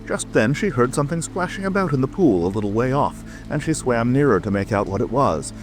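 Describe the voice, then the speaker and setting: A man's voice: mid-range voice, expressive, a little fast, background noise. A man narrating a scripted storybook as a dramatic audiobook reading. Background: music, rain ambience.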